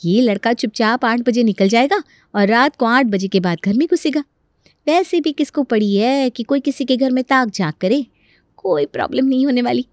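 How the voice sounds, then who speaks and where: A smooth-talking young daughter-in-law, voiced by a woman for an animated family tale. The voice is high and closely recorded with no room sound, and the manner is hopeful, coaxing and full of reassurance as schemes are hatched. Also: moderate pace, dry recording, clean studio sound. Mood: smug, confident. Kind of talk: Hindi animated story dialogue.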